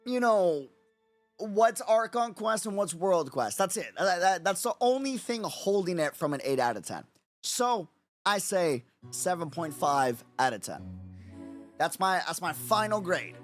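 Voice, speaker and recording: Hoarse, sleepless male streamer. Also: high voice, animated, measured pace, dry recording, soft background music. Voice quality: raspy. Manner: rambling, repeats phrases, frequent fillers. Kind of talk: casual livestream chat.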